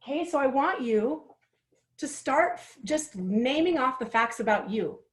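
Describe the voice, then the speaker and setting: A woman's voice: high-pitched, animated, a little slow. A woman of forty with a chatty, candid manner, guiding listeners through a writing exercise and laughing at herself as she goes. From Utah, United States. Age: 40s. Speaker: workshop host. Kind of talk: guided writing workshop.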